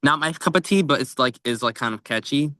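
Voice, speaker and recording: Bright-voiced man chatting casually about books. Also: medium pitch, animated, brisk, dry recording, clean studio sound. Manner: informal, tentative phrasing with frequent "like". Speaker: co-host of a book podcast.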